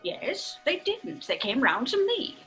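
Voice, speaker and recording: An actress with a British accent playing a sensible, faintly stern mother with a crisp manner in an old-fashioned radio drama. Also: high-pitched, huge pitch swings, slow, bright, forceful tone, soft background music, full character voice. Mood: questioning. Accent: British English.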